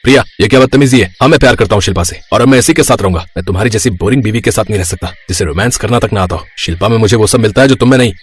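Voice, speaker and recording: A man playing a married, smitten, quick-tempered businessman in a family drama story, earnest and melodramatic. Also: on the low side, husky. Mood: indignant.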